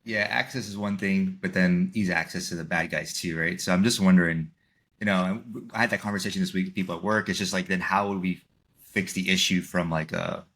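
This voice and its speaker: A man's voice: deep voice, steady tone, brisk, a bit bright. A man co-hosting a podcast, thinking aloud in a loose, rambling way. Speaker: podcast co-host. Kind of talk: casual podcast discussion.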